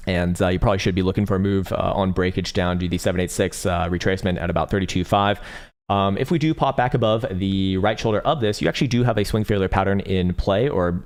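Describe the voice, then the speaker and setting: A tired man with a raspy voice hosting a casual livestream, rambling and profane, with lots of fillers while he reads viewer chat aloud. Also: deep voice, darkish tone. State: tired, short on sleep. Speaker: crypto livestream host. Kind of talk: casual livestream chart analysis.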